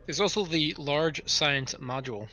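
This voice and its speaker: A man's voice: fairly high pitch, normal speed, bright, forceful tone. A man chatting casually and jokingly as a player in a tabletop roleplaying game, on a noisy mic.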